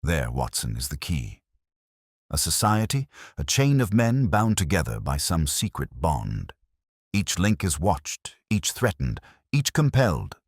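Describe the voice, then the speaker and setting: A man voicing a cool, precise, commanding detective in a measured, deliberate audiobook performance. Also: sits low, very animated, breathy edge, no room sound, clean studio sound, exaggerated voice.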